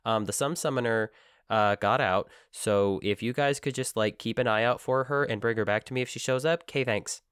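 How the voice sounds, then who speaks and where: A man co-hosting a casual book-club podcast, playful and animated, his voice worn out. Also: medium pitch, moderate pace, clear, resonant tone, no echo, clean studio sound. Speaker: podcast co-host.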